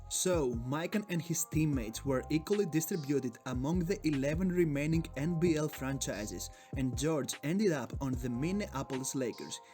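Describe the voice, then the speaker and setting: A man's voice: fairly high pitch, a little mellow. A man from Greece with a Greek accent, narrating a sports video in a hyped, joking, profane way. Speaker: sports YouTuber.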